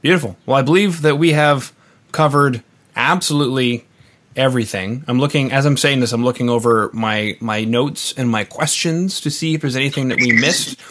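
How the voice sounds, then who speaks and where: A man with a medium-pitched voice hosts an interview podcast. His delivery is casual yet measured, with deliberate phrasing. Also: a bit bright. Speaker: podcast host.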